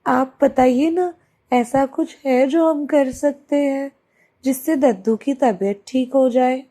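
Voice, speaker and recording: Woman voicing a high, pleading little cartoon boy. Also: takes it slowly, mellow. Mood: worried pleading. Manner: insistent, pleading, repeats himself.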